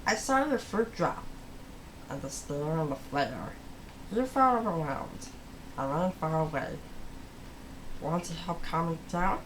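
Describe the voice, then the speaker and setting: A storybook reader voicing a caring brain character who helps gently, reassuring and unhurried. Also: mid-range voice, lively intonation, a little hiss or hum, rough recording.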